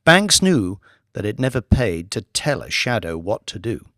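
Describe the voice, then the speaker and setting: A man narrating an audiobook in a measured, expressive reading. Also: lowish voice, no room sound, studio quality.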